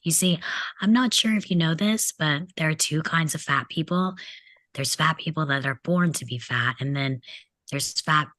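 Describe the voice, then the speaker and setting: A woman's voice: sits low, normal speed, fairly bright tone, dry recording. A woman reading the part of a mocking, crude teenage delinquent boy at a table read of a film script. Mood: needling. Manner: mocking, needling, insolent.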